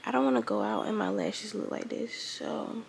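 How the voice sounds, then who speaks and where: Teen girl vlogger, just woke up, raspy and unhurried, phone held close in her bedroom. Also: mid-range voice, animated, slight background noise. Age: teens. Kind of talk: casual morning vlog talk. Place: bedroom, indoors. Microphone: phone held close at arm's length.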